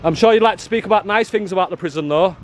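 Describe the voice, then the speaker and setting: A loud, confrontational man with a fairly high voice argues with people he films outside a prison. He talks in a rough, challenging way, and the sound comes from a handheld camera mic outdoors. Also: racing. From England. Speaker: auditor filming outside a prison. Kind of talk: confrontational street filming. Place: outdoors by a prison car park.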